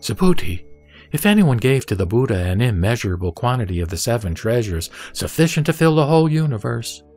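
A man playing the Buddha as a serene teacher, reciting scripture in a measured, solemn audio drama style. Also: mid-range voice, sing-song, darkish tone. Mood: solemn, emphatic. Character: the Buddha, serene male teacher addressing his disciple. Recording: music behind the voice.

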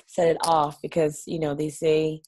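A woman's voice: low-pitched, completely flat delivery, moderate pace, a little mellow, a little hiss or hum. A woman in her thirties from Nigeria, a guest on a video call from her living room, telling her story openly in a chatty way with small laughs. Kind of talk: casual video-call interview. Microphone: laptop webcam on a video call.

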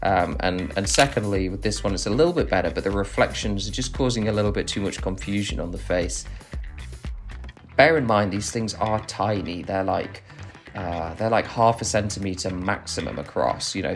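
A man's voice: sits low, moderate pace, faint music behind. A man giving a reflective voice-over narration for a nature photography vlog.

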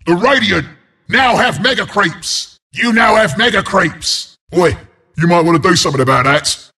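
An actor voicing a scrappy, cocky porcupine brawler as a video game announcer, with a London Cockney accent, a gravelly rasp and cheeky, blunt banter. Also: male voice, sits high, bright, forceful tone, full character voice. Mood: cheeky, brash. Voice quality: gravelly, raspy.

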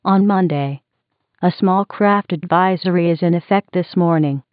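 Muffled, low-pitched synthetic woman announcer. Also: moderate pace, no room sound. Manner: mechanical, impersonal. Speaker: automated weather radio announcer. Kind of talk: synthesized weather bulletin reading. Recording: muffled lo-fi weather radio recording.

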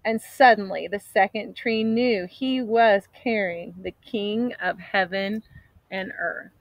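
A woman in her thirties reads a picture book aloud in an expressive, dramatic storytelling manner. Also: medium pitch, slow, dry recording. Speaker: storybook reader. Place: outdoors in an open grassy area.

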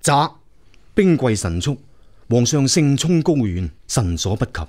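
A man's voice: mid-range voice, sing-song, unhurried, darkish tone, no echo. An actor playing a dutiful prince serving his emperor in a radio drama, respectful and formal. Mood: deferential. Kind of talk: Cantonese radio drama acting.

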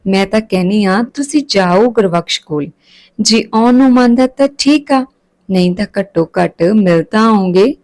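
A village mother as a woman storyteller reads her, soothing yet firm, keeping peace in the family. Her voice is medium in pitch. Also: lively intonation, moderate pace, a little mellow, lightly acted.

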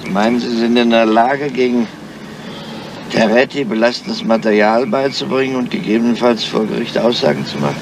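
An actor playing a crafty, weary, grumpy police inspector in a radio crime drama, speaking in a dry, measured, deliberate way. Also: male voice, medium pitch, fairly even intonation, creaky, lightly acted. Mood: matter-of-fact, probing. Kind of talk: 1970s German crime radio drama acting. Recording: noisy, dull old radio drama recording.

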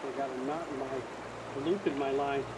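Middle-aged man muttering by a loud river. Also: medium pitch. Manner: relaxed, muttering, swears under breath. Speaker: angler. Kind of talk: casual banter while fishing. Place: outdoors, wading in a river under a bridge. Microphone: action camera worn by his friend a few feet away. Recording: loud river noise, outdoor action cam. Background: loud rushing river water.

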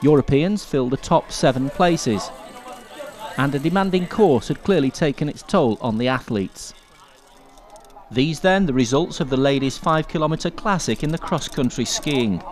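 A man reading scripted sports highlights as a commentator. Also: animated, moderate pace.

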